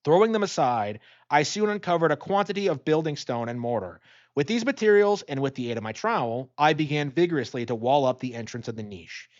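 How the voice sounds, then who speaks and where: Animated man narrating a gothic tale. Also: a little high, fairly quick, lo-fi sound. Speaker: audiobook narrator. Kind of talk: dramatic scripted gothic story narration.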